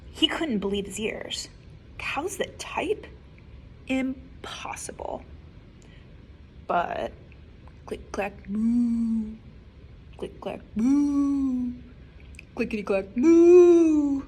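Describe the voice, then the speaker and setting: A woman librarian reading a picture book aloud to children, expressive and animated, with soft whispered asides. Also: sits high, drawn-out words, long pauses, slight background noise, low-quality recording. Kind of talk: children's story time read-aloud.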